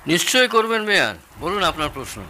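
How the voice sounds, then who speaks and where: A man's voice: high voice, sing-song, fast talker, sharp tone, a little hiss or hum, exaggerated voice. An actor playing a jovial, proud older Bengali father-in-law in an audio drama, explaining things expansively and with relish.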